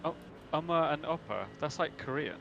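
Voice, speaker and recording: A man chats casually, asking curious questions. His voice is gravelly. Game noise runs underneath. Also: on the high side, animated, normal speed, highs cut off. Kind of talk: casual chat. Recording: background noise from game audio.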